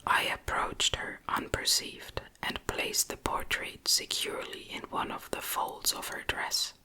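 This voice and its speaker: A woman reading a gothic novel as an ASMR narrator in a soft, breathy, gentle whisper. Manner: slow, gentle, deliberate reading. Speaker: audiobook narrator.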